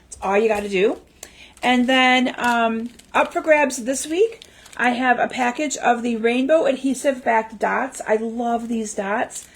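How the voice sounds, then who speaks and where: A woman hosting a crafting livestream in chatty, casual talk full of ums, her voice slightly raspy from a lingering cough. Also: on the high side. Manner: chatty, lots of ums and restarts. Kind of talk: casual live-stream chat. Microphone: livestream mic.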